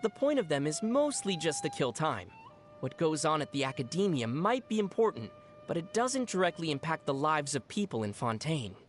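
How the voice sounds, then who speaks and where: An actor voicing a young traveling adventurer in a video game, level-headed and gently teasing, explaining things in a dry, playful way. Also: mid-range voice, very animated, moderate pace, soft background music, close to an everyday voice. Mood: dry, explanatory. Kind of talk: video game character dialogue.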